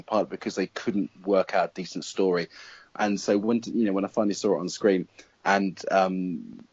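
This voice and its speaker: Low husky man, casual film talk. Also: a little mellow, no echo, highs cut off. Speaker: guest film critic on a panel. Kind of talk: casual opinionated panel discussion.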